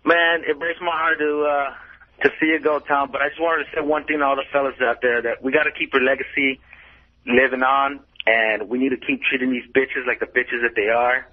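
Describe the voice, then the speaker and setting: A man calling into a radio show, talking casually in a halting way. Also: medium pitch, steady tone. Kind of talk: casual phone-in chat. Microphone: telephone. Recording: tinny phone line on radio.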